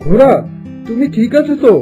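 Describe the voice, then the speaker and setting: A man's voice: very high-pitched, fairly quick, music in the background. A man playing a polite, grateful young horse master in a cartoon, with a courteous, earnest manner. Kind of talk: cartoon story dubbing.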